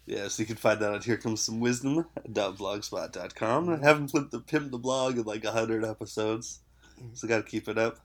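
A man's voice: on the low side, moderate pace. A man from Canada talks in an easygoing podcast chat, rambling through fillers and laughing often. He has a head cold, and his voice sounds stuffed up and nasal. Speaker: podcast host.

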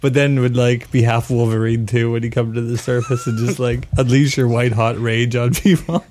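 A man's voice: medium pitch, steady tone, normal speed, a little mellow, slight background noise. A man co-hosting a podcast, bantering casually and teasing the others. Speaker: podcast co-host. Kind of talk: casual chat.

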